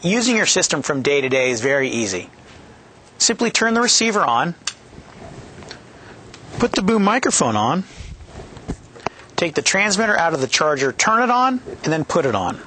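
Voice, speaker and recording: Lively, bright-voiced man explaining a device. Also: on the high side, moderate pace, pauses often, a little hiss or hum. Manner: upbeat, jokey, clearly explaining steps. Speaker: product manager presenting a training video. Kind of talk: scripted instructional presentation. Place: studio set with a yellow backdrop. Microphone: headset boom mic.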